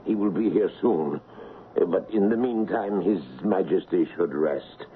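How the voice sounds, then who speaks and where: A narrow old radio recording with faint noise carries a loyal, solicitous colonel. His voice is fairly low, courteous and formal, slightly hesitant and deferential. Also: unhurried. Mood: concerned, deferential. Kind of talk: radio drama acting. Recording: muffled, noisy vintage radio broadcast.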